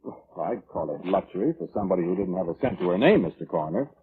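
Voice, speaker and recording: A man's voice: low voice, lively intonation, fast, breathy edge, full character voice. An actor playing a selfish, self-justifying man in an old-time radio drama, defensive and colloquial in manner. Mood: indignant. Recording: muffled vintage radio recording.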